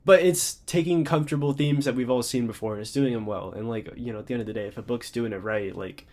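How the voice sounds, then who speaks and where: A man's voice: mid-range voice, fast talker, darkish tone. Young adult man, congested, rambling and casual; off-the-cuff reviewer. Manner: rambling, many fillers and restarts. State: congested, slightly stuffy. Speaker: book reviewer.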